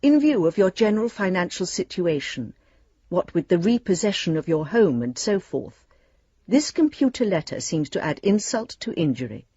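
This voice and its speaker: A husky, official female lending advisor. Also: fairly low pitch, very animated, moderate pace, mellow, dry recording, muffled recording. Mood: confiding, sympathetic. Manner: official, precise letter-reading. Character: formal female bank lending advisor, secretly sympathetic. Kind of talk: radio drama letter monologue.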